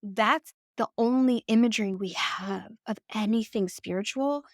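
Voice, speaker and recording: A woman's voice: fairly high pitch, moderate pace, dry recording. Dry-voiced woman musing aloud. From United States. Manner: reflective, frequent "like" and "right?". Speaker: podcast guest. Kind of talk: conversational interview.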